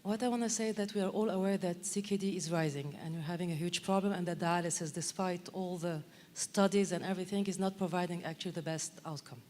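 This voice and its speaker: A woman's voice: fairly low pitch, steady tone, fast, hardly any pauses, a little mellow. A woman commenting and asking questions from the floor of a conference, in an emphatic, insistent way. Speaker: audience member commenting at a conference. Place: large conference hall. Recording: reverberant hall, faint background noise.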